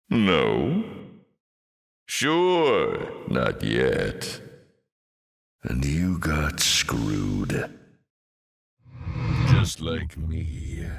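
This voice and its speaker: An actor playing a sardonic fallen demon lord in a video game, with urbane, mocking delivery and dramatic flourishes. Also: male voice, extremely low pitch, creaky, heavily acted. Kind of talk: theatrical video game character acting. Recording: long cavernous reverb.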